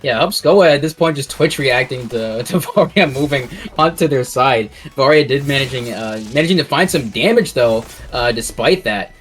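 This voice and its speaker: A man's voice: medium pitch, normal speed, few pauses, a bit bright, soft background music. A man giving live, casual esports commentary in a loose, conversational way, often repeating his phrases.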